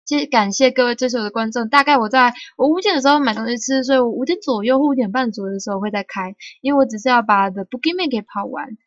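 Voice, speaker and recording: A woman streamer from Taiwan, chatting playfully and halting when speaking English. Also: a little high, no echo, lo-fi sound. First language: Mandarin. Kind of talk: live game stream chat.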